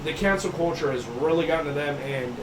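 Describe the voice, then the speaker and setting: A man's voice: a little high, fast talker, noisy background. A man co-hosting a casual sports podcast, ranting emphatically and swearing often. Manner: heated, swearing, emphatic rants. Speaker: podcast co-host.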